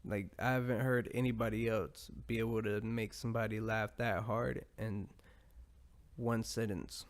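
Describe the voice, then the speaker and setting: Gravelly laid-back man rambling on a podcast. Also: on the low side, level tone, moderate pace. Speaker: podcast host. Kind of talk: casual rambling monologue.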